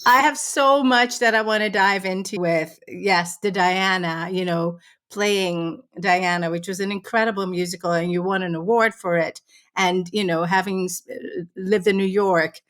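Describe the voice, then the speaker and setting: A woman's voice: medium pitch, steady tone, normal speed, fairly bright tone. A woman hosting a casual interview, enthusiastic and affirming toward her guest. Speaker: podcast host.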